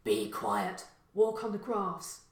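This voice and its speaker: A woman's voice: lowish voice, very animated, takes it slowly, roomy sound. A woman voicing a confident, burly middle-aged burglar father who gives blunt orders in a crime story. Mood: stern.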